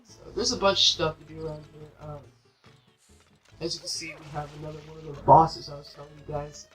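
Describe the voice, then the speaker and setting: A man's voice: a little high, steady tone, brisk, a bit bright. A man hosting a let's play, giving casual, rambling, informal commentary as he plays a video game. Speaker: gaming video host. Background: game music.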